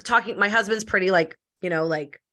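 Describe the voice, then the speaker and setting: A woman from Canada, a podcast guest, talking in a loud, deep voice with lots of filler "likes" and quick restarts. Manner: loud and deep voice by her own account. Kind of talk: casual interview chat.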